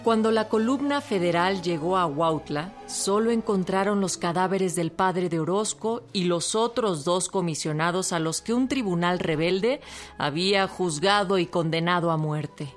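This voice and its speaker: A woman's voice: on the low side, moderate pace. A woman reading scripted narration in a radio documentary drama. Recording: music behind the voice.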